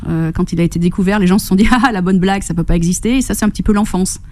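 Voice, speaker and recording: Middle-aged woman from Corsica, radio guest, mellow warm tone, bubbly and chatty. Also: mid-range voice, racing. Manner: enthusiastic, laughs often, animated storytelling with quoted voices. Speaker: fitness and nutrition coach, guest. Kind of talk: radio interview. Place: radio studio.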